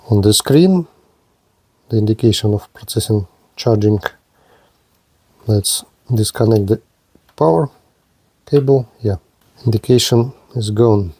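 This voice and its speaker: A man presents a hands-on product demonstration in a soft-edged, mellow voice. His delivery is slow and halting, broken into short fragments as he goes step by step. The sound is dry and close, with very little room to it. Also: medium pitch, dry recording. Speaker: gadget reviewer presenting a radio.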